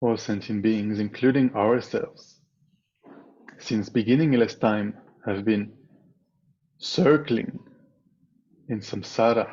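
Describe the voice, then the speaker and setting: A man leading a guided meditation as a teacher, speaking in a measured way with pauses between short phrases over a slightly dull video call. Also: fairly low pitch, darkish tone, slightly rough. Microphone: video call microphone.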